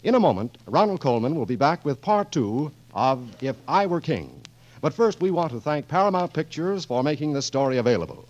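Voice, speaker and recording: Male radio announcer, 1940s style, polished sales delivery, lively swooping pitch, muffled vintage broadcast. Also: brisk.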